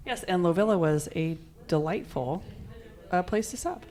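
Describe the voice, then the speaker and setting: An upbeat woman co-hosts a podcast, mixing a scripted opening with casual, informative chat. Her voice sits somewhat low. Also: animated, normal speed, dark tone. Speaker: podcast co-host.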